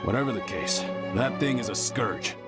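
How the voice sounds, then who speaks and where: A man acting as an earnest investigator in a fantasy game. His low voice moves animatedly as he explains with an aggrieved edge. Loud music sits behind him. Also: animated, moderate pace, natural voice. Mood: indignant.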